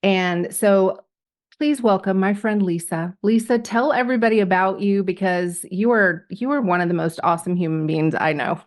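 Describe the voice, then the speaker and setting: A woman hosting a faith and business podcast, speaking reflectively with pauses and frequent laughter. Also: mid-range voice. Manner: reflective, hesitant, laughs often. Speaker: podcast host. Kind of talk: casual interview chat.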